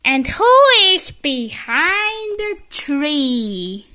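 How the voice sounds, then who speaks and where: Halting, high-pitched storybook reader, childlike voice. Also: big pitch swings, bright, forceful tone. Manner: halting word by word, with stumbles and repeats. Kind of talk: read-aloud of a children's picture book.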